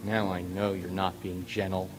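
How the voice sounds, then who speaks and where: A man's voice: low voice, level tone, normal speed, lightly acted. A man playing a proud, stubborn, wry pegasus general in a radio play. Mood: defiant, stung. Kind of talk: radio drama acting. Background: music bed.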